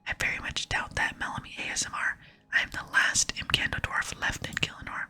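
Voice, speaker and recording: A woman voicing a gruff, doubting old male dwarf smith from a game in an expressive whisper. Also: brisk. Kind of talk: whispered reading of game dialogue. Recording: close whisper with music behind.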